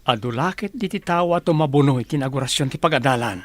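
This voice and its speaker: A man's voice: mid-range voice, lively intonation, quick pace, lightly acted. A man playing an earnest, friendly village leader and family man in a radio drama, with an Ilocano accent. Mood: pleased.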